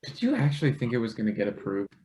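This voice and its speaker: A man's voice: mid-range voice, huge pitch swings, fast, warm tone. A man playing an eager, hesitant young male intern with dry wit in a stage play table read. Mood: tentative, wry.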